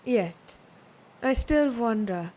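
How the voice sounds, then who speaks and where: A woman's voice: a little high, expressive, mellow, poor audio. A woman playing a proud, menacing vampire warrior of ancient Germanic tribes in a dramatic audio roleplay, speaking in a taunting, predatory way. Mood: predatory amusement. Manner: slow, taunting, theatrical, predatory.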